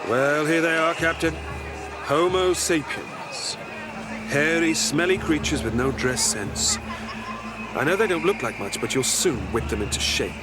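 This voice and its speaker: An actor playing a bumbling but quick-witted eccentric time traveller in an audio comedy, with theatrical, hesitant stammering. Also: male voice, fairly high pitch, background noise, close to an everyday voice. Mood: mock grave. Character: bumbling, fast-thinking eccentric time traveller feigning alarm. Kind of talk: comedic sci-fi audio drama acting.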